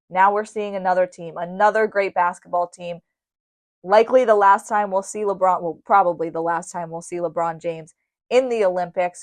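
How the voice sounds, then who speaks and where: A woman's voice: medium pitch, no echo. A woman hosting a sports radio show, giving commentary and recaps in an emphatic way, repeating phrases to drive points home. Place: radio studio.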